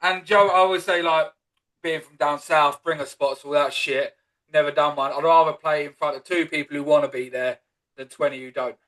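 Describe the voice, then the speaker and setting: Cockney podcast host, high voice, loose and sweary, brotherly chatter. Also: male voice, fairly even intonation, moderate pace, fairly bright tone. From East London. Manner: swears freely, calls guest brother and man. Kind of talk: casual interview chat.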